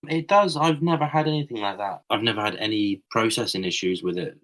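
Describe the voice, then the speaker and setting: A man with a deep voice and a bright, forward tone talks as a podcast guest. He sounds slightly croaky and explains his work in a loose, chatty way full of fillers. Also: fairly quick. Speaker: guest photographer. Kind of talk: casual conversational explanation. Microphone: voip call.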